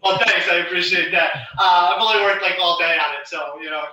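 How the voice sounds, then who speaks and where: A man in his thirties hosting a video call from his living room. His voice is very bright and a touch high, with lots of fillers. The sound is roomy and distant, as if the mic sits far away. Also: steady tone, brisk. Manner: upbeat, many fillers. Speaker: youth program moderator. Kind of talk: hosting an online interview. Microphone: headset on a video call.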